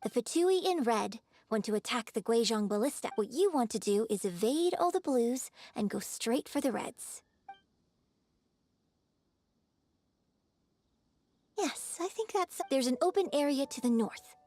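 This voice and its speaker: An actress playing a gracious opera performer in a video game, coaching patiently with polished, gentle, encouraging delivery. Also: female voice, a little high, animated, moderate pace, few pauses. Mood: patient, guiding. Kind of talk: scripted video game voice acting.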